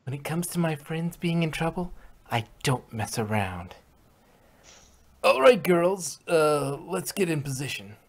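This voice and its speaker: A woman's voice: deep voice, animated, a little slow, exaggerated voice. A woman voicing an earnest, protective young female pony heroine in a sincere, resolute way for a story reading. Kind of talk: dramatized fan fiction reading.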